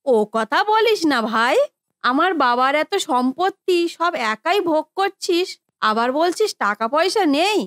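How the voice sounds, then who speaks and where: A woman's voice: very high-pitched, sing-song, a little slow, a bit bright, dry recording, studio quality, full character voice. A woman playing a greedy, spiteful sister-in-law in a cartoon family drama, sneering and complaining. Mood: accusing, dissatisfied.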